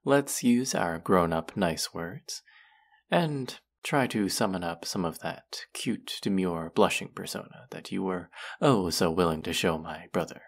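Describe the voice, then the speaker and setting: A man performs a smug young man in an audio roleplay, the condescending twin brother. His voice is very low and gravelly, with slow, taunting drawls. He talks straight at the listener in a mocking, teasing way. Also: heavily acted. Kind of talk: scripted roleplay addressed to listener.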